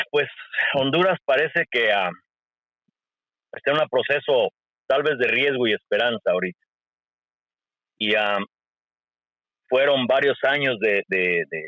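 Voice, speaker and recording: A man hosting an interview, asking questions in a halting way with many restarts. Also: mid-range voice, no echo. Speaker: interviewer, host. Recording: dull lo-fi call audio.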